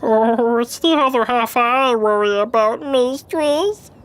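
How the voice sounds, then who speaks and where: A woman's voice: on the high side, takes it slowly, low-quality recording. A woman playing a dramatic, fretful, romantic servant sidekick with a theatrical, gushing manner in an audio drama.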